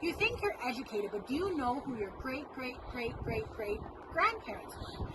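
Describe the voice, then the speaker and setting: A woman's voice: sits high, sing-song, normal speed, very noisy. A young woman giving a prepared, personal presentation as a student.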